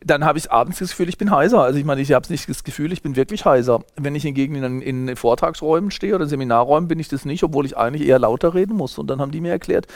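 A man's voice: on the high side, very fast, hardly any pauses, no room sound, clean studio sound. A man in his fifties from Germany, a sociologist being interviewed, explaining at length in a lecturing way with frequent hesitations and restarts. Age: 50s. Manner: lecturing, many fillers and restarts. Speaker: sociologist guest. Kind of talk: thoughtful explanatory interview talk.

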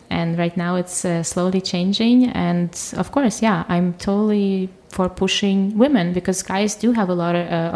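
A young adult woman from Ukraine, a guest in an interview, speaking casually with many fillers and restarts. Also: on the low side. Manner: relaxed, lots of fillers and restarts.

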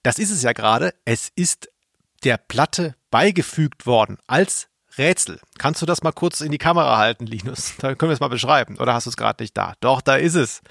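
A man's voice: mid-range voice, lively intonation, a little fast, creaky, a bit bright, dry recording, clean studio sound. A man hosting a podcast about radio plays, explaining things conversationally in an amused way to his co-host.

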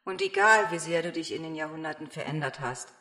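A woman's voice: sits low, brisk, pushed and bright. A woman playing a knowing, intimate female figure in a vampire audio drama. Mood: knowing, reproachful. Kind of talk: audio drama role-play dialogue.

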